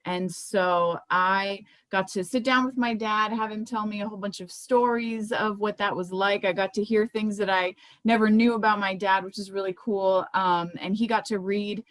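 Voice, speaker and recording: A woman's voice: medium pitch, fairly even intonation, normal speed, few pauses, a bit bright, no echo. Conversational American woman, dry close sound. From Miami, United States. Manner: conversational, informal. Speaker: children's book author presenting her books. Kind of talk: informal book presentation.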